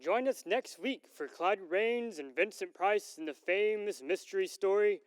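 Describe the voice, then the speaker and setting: Man playing a slow, grand vintage radio announcer. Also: high-pitched, lively intonation, clear, resonant tone. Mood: grand, formal. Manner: measured, theatrical, grand. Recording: long hall reverb.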